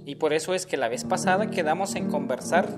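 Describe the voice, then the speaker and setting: A man's voice: a little high, brisk, close to an everyday voice. An actor playing an earnest male Honduran activist in a radio drama, chatting in a colloquial way. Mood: earnest, informative. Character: Juancho, man activist chatting earnestly with a comrade. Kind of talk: scripted radio drama dialogue, conversational. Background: plucked guitar music.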